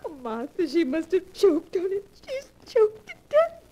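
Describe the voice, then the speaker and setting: A timid old lady in a 1940s radio mystery, very high and mellow in voice. She is plaintive and fretful, with big swoops of melodramatic intonation. The old recording is slightly noisy. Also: huge pitch swings, a little slow, full character voice. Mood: panicked, grieving. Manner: plaintive, anxious melodramatic delivery.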